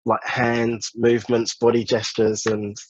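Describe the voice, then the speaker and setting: A man as a podcast guest, giving relaxed job advice with many fillers in casual chat. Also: mid-range voice, measured pace, husky, dry recording, dull audio. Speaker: guest, job search business director.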